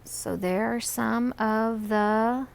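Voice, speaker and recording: A woman reading a children's book aloud in an expressive storytelling style. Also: a little high, very slow, gravelly. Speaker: read-aloud reader.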